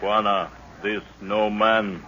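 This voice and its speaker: A man's voice: fairly low pitch, flat delivery, slow, sharp tone. A man in an old radio drama playing a superstitious village guide who talks earnestly in broken pidgin English. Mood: earnest, wary. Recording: muffled, noisy old radio recording.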